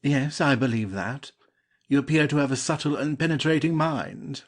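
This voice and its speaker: An actor playing a polite, cautious gentleman lover in a classic comedy audio play, speaking formally and with measure. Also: on the high side, moderate pace, close to an everyday voice. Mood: measured, patient.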